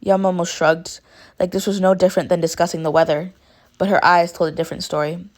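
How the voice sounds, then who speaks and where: A woman narrating fan fiction in an expressive amateur storytelling style. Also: lowish voice, a little fast.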